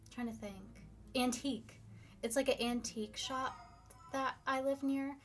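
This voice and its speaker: Chatty young woman streamer, slightly hoarse. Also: high-pitched, steady tone, a little slow, faint music behind. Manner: chatty, upbeat, reads viewer comments. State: slightly hoarse, losing her voice. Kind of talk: casual live-stream chat.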